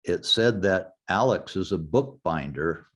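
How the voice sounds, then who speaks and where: Older man, low voice, slow delivery, class instructor, conversational lecture. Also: lively intonation, breathy edge. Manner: anecdotal, conversational, walks through website steps. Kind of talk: informal lecture with screen demo.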